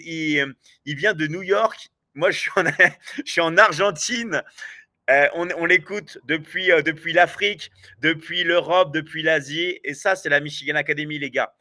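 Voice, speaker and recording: A man's voice: fairly high pitch, bright, forceful tone, no room sound. A man hosting a live online sales stream, talking eagerly with lots of fillers and repeats. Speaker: live show host. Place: at home in South America.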